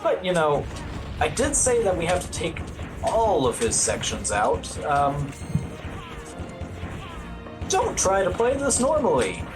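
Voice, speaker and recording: A man's voice: fairly high pitch, wildly swooping pitch, slow pace, long pauses, poor audio. A man giving casual live commentary on his own speedrun, with wry, understated humor. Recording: loud game music behind voice. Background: video game music.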